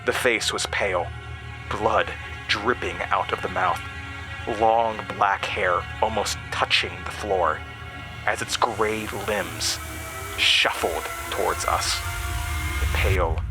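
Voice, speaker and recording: A man's voice: sits low, fairly even intonation, takes it slowly, rough recording. A man narrating a horror audio drama in the first person, with a grave, suspenseful delivery. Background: music.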